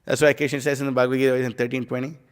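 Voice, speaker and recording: A man's voice: mid-range voice, little pitch movement, racing, clean tone. Man giving a rambling casual scripture class. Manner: casual, rambling, many fillers like "you know" and "like". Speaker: class speaker. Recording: live stream in a reverberant hall.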